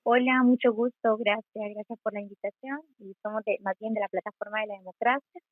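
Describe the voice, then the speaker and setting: A young woman in her twenties from Honduras with a Honduran accent, a student activist answering interview questions in a measured, thoughtful way. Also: mid-range voice, steady tone, no echo. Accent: Honduran Spanish. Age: 20s. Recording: tinny phone-like call audio.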